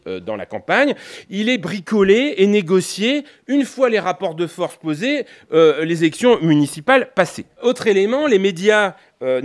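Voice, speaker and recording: A man giving an analytical, semi-improvised academic lecture, often hesitating, breaking off and rephrasing as he explains. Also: high-pitched. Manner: hesitant, repeatedly breaking off and rephrasing, punctuated with rhetorical tags. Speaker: political scientist, university lecturer.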